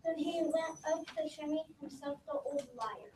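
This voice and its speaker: A young reader, sounding like a child, reading a rhyming story aloud slowly and haltingly. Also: sits high, a little mellow. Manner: slow, stumbling over words. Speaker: readers theater performer. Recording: roomy, distant mic, background noise.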